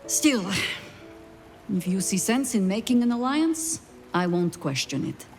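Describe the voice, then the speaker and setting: An actress playing a wary, weary veteran spy in a video game, with dry, measured, formal delivery. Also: female voice, medium pitch, big pitch swings, a little mellow. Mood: wry, guarded. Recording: music behind the voice. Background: game music.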